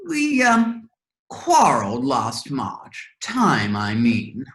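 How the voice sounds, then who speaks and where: A woman's voice: extremely low pitch, huge pitch swings, extremely slow, full character voice. A woman playing an eccentric, huffy, scatterbrained hatter in a readers' theater storybook piece, theatrical and emphatic. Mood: aggrieved. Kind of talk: readers' theater of children's classic.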